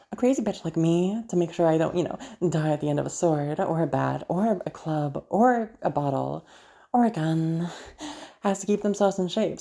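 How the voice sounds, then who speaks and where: A woman playing a crazy, seductive female patient in an audio roleplay, teasing and menacing, with halting, drawn-out phrases that drop toward a hush. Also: deep voice, vocal fry, heavily acted. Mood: brash, boastful. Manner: teasing, halting, drawn-out words with sudden hushed drops. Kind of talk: audio roleplay monologue addressed to listener.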